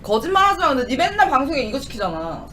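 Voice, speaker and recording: A woman's voice: high voice, very animated, fast, a bit bright, recorded from a distance, a little hiss or hum. A young woman streamer who teases her co-host in blunt casual speech. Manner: teasing, blunt banmal. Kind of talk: casual drinking stream chat.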